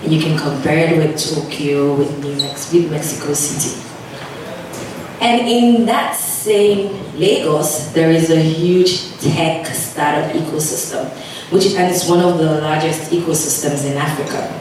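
A woman with a Nigerian accent, a developer, giving a conference presentation with a slow, emphatic delivery. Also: low-pitched, noisy background. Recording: echoey hall, speaker far from mic.

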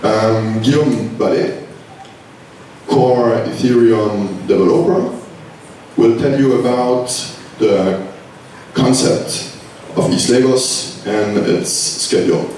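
A man hosting a conference opening introduces the speakers one by one, talking very slowly. His voice rings with heavy echo, captured far from the mic in a big hall with faint noise behind. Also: mid-range voice, a little mellow. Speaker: event organizer, conference host.

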